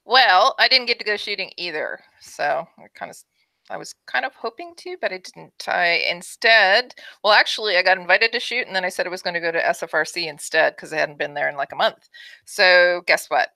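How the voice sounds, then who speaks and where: A woman's voice: fairly low pitch, a little fast, gravelly, bright, forceful tone, no echo. A woman co-hosting a casual podcast chat, chatty and upbeat. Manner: chatty, upbeat, trailing restarts. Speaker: podcast co-host.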